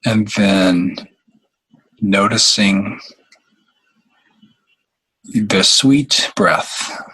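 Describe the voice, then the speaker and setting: A man leading a guided meditation in a halting, unhurried way, with long pauses between phrases. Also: lowish voice, bright, forceful tone, slightly rough, rough recording.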